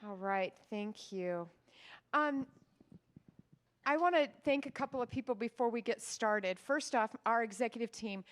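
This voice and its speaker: Loud, high-voiced woman emceeing an event. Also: a little slow. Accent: American. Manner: loud, chatty, laughs between introductions. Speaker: county party chair hosting a debate. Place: event hall.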